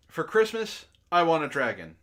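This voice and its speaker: A man's voice: on the high side, a bit bright. A man hosting a book club stream, reading aloud and breaking into exasperated, incredulous asides in casual jokey banter.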